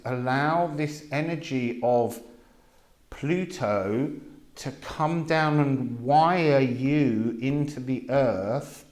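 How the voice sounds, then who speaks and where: Slow, musing man reading cards. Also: medium pitch, a little mellow. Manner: slow, musing, drawn-out delivery with many pauses. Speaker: tarot and astrology reader. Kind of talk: casual spoken card reading. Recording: echoey room with faint noise.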